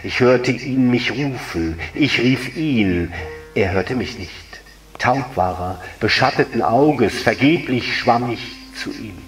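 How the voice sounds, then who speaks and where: A man's voice: mid-range voice, lively intonation, slow. A man voicing an anguished, lovelorn female water spirit in a solemn, lyrical dramatic monologue. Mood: longing, sorrowful. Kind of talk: literary dramatic monologue recitation. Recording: echoey room, distant mic, music underneath. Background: music.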